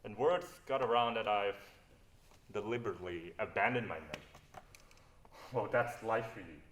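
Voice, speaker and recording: A man's voice: on the low side, normal speed, fairly bright tone, slight background noise, close to an everyday voice. A young man acting a roguish, bitter adventurer in a stage play, dramatic and confrontational. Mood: bitter, confessing. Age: young adult. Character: roguish, cynical male adventurer, defensive and bitter. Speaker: student stage actor.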